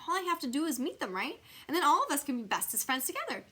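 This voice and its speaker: A woman voicing a bossy, excitable six-year-old girl telling her own story in a storybook reading, with childish exclamations and quirky grammar. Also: high-pitched, fast, voice pushed up, exaggerated voice. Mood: pleading. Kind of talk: animated children's storybook character voice.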